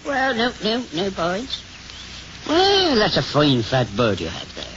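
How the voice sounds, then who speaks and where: An actor playing a fussy, kindly elderly priest in 1950s radio style, with a hesitant, stammering manner. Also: male voice, sits high, wildly swooping pitch, a little slow, fairly bright tone, heavily acted. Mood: flustered. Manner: hesitant, stammering, muttering repetitions. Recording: muffled, noisy 1950s radio recording.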